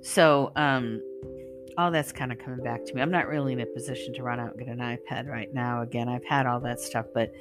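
Hoarse woman rambling to her listeners. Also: a bass voice, fairly even intonation, fairly quick, over loud music. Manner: rambling, with many fillers and restarts. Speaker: singer and channel host. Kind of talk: casual spoken monologue to listeners.

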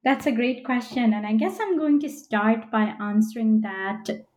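A woman from Belgium with a somewhat high voice speaks as a guest scholar. She is articulate and measured, talking reflectively in an interview style. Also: a little mellow. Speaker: podcast guest, literature professor. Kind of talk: reflective personal account in an interview.

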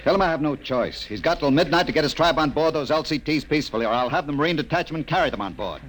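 A man's voice: on the high side, lively intonation, fast talker, a bit bright, a little hiss or hum. An actor playing an impatient, domineering middle-aged general in a classic radio drama, brusque and sarcastic as he barks orders. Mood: curt, scornful. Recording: old radio recording, music behind.

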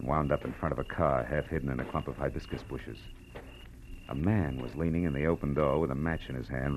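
An actor playing a tough, wry American private detective in 1940s radio style, clipped and wisecracking. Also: male voice, extremely low pitch, rough recording. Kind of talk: 1940s radio detective acting and first-person narration. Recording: old radio drama with music behind.

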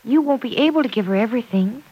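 An actress playing a gentle, sensible, sympathetic woman friend who reassures tenderly and in measured words in an old radio drama. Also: fairly high pitch, expressive, slightly rough, dull audio. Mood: gentle, sincere. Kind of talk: 1940s radio drama acting. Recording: old radio recording with background noise.

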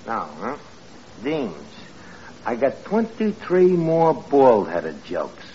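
A man's voice: mid-range voice, wildly swooping pitch, slow pace, full character voice. An actor in a 1940s radio comedy playing a brash, uneducated bar manager who mangles grammar and big words as he wisecracks. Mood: cajoling, cocky. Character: brash, uneducated wise-guy bar manager with grand pretensions. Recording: old muffled noisy radio recording, loud audience.

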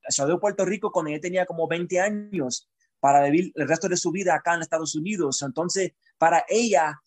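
A man's voice: on the high side, brisk. A man from Chicago, a poet guest in an interview, speaking reflectively and searching for his words. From Chicago, United States. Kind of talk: conversational interview.

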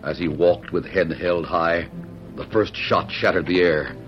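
An actor as the solemn, suspenseful narrator of an old-time radio western adventure. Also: male voice, sits low, fairly even intonation, a little slow. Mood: tense. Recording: muffled old radio recording. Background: dramatic music.

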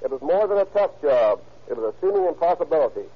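A man's voice: on the high side, sing-song, fast. A police captain, a man, giving a stiff, formal, moralizing scripted introduction on 1930s radio. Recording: muffled old radio recording.

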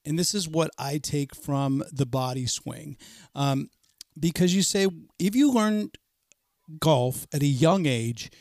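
A man's voice: fairly high pitch, takes it slowly, a little mellow, no echo, clean studio sound. Upbeat middle-aged man hosting a golf chat. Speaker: podcast host. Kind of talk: casual interview chat.

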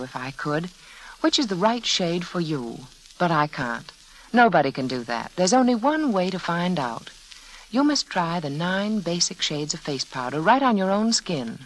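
An American woman giving a measured, confiding sales pitch as hostess of a 1940s radio show. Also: rough recording. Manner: measured, confiding, direct address. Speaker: sponsor's hostess. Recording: old radio broadcast, faint noise.

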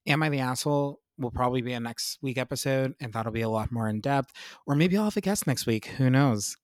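A young man hosting his own podcast in a casual, upbeat, self-mocking chat, a little congested and clearing his throat. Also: medium pitch, a little fast, dry recording, studio quality. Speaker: podcast host.

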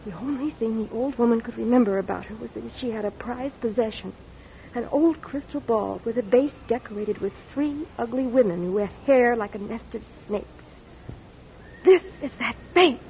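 A woman's voice: fairly high pitch, moderate pace, gravelly, a little mellow. An actress playing a determined, manipulative young woman in a classic radio drama, with an intense, insistent delivery. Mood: measured, intent. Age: young adult. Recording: muffled radio recording with noticeable noise.

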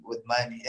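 A man's voice: mid-range voice, background noise. A man with a Zimbabwean accent, a musician guest speaking in a casual, conversational interview style.

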